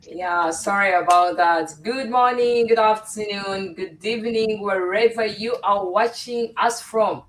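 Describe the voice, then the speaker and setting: A middle-aged woman hosts a live online panel, speaking at an ordinary middle pitch. She sits a little back from her mic on a video call, so her voice sounds somewhat distant. She greets viewers with frequent filler words. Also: a little slow, few pauses, slight background noise. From Uganda. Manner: warm welcoming, with frequent fillers. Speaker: host. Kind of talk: casual livestream hosting.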